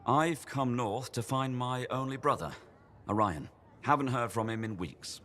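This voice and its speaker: A man's voice: medium pitch, unhurried, a bit bright, a little hiss or hum, natural voice. An actor playing a playful, joking male traveler in a video game cutscene. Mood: wry. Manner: joking, teasing, theatrical.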